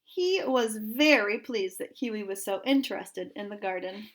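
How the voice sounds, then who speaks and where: A woman's voice: mid-range voice, animated, unhurried. A woman reading a children's story aloud in a narrating style. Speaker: storybook reader.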